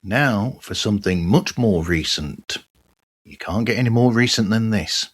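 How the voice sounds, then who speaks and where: A man's voice: on the low side, expressive, unhurried, slightly rough. A man hosting a podcast, presenting in a conversational way. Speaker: podcast host.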